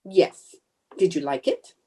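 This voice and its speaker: A woman's voice: lowish voice, sing-song, takes it slowly, mellow, breathy edge, heavily acted. A woman playing a proud, defensive housewife in an old-time radio sitcom, with an indignant, easily flustered delivery. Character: proud, defensive housewife bluffing about her cooking.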